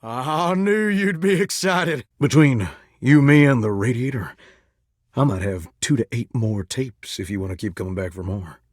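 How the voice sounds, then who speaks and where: A man's voice: medium pitch, lively intonation, moderate pace, dry recording, clean studio sound, lightly acted. A man voicing a flirty, dramatic living shower who dreams of singing stardom, with a folksy, effusive Southern American accent, in a video game. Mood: delighted, flirty. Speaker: dateable character in a video game. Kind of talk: animated video game voice acting.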